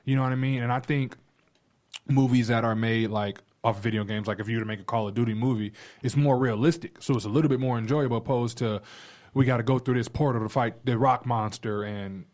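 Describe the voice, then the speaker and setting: A man with an average-pitched voice speaks in casual podcast conversation. His wording is colloquial and he gives his opinions plainly. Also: steady tone, brisk, a little mellow. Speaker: podcast panellist.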